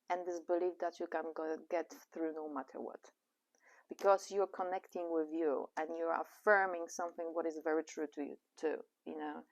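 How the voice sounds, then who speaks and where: Woman, Polish accent, podcast co-host, warm encouraging reflective talk, low pitch. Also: gravelly. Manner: encouraging, reflective, laughs easily. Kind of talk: casual podcast conversation.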